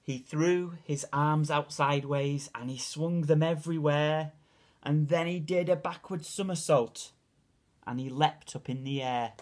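A man in his forties reading a children's picture book aloud in expressive, deliberate, rhythmic verse. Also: fairly high pitch. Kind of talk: expressive storybook read-aloud.

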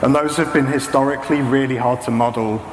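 A man's voice: mid-range voice, steady tone, a little fast. A man, around his thirties, giving an informal technical talk in a hesitant, explanatory manner. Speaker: presenter, saxophonist and machine learning practitioner. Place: indoor stage at a talk venue, before a projection screen. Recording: echoey hall, clearly noisy background.

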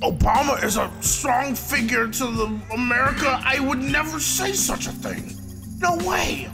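A man voicing an unhinged, petty mad scientist villain in an improvised comedic game dub, bombastic, ranting and repetitive, with a gravelly, strained shout. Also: extremely high pitch, unhurried, heavily acted. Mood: indignant, flustered. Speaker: fandub voice actor. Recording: loud music under screen-recorded voice.

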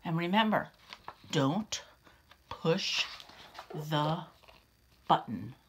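A woman's voice: sits low, expressive, very slow, slight background noise. A middle-aged woman reading a picture book aloud to young children, theatrical and full of questions to them. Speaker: nursery school teacher reading aloud. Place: small room at home or office, indoors.

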